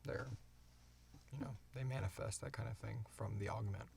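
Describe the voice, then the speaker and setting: A man co-hosting a podcast, answering questions in casual, informal, halting talk. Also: on the low side, fairly even intonation, vocal fry, a little mellow, slight background noise. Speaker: podcast co-host and show creator. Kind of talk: casual Q&A chat.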